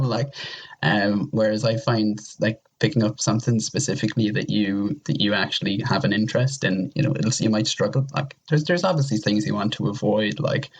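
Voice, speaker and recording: Guy with a deep voice, casual podcast guest, rambles and stumbles along, bit of noise behind him. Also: poor audio. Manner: rambling, halting, repeats "like". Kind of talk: casual chat.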